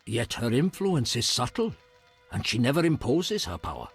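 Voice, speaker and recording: An actor playing a solemn, reverent hermit in a fantasy video game, with formal, measured phrasing and a husky voice. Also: male voice, mid-range voice, sing-song, faint music behind. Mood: reverent, wistful.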